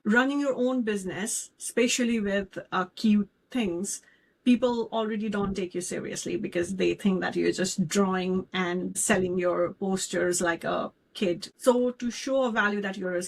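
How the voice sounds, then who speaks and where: A young adult woman from Nepal co-hosting a casual podcast, talking earnestly and candidly about her worries. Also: medium pitch. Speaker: podcast co-host.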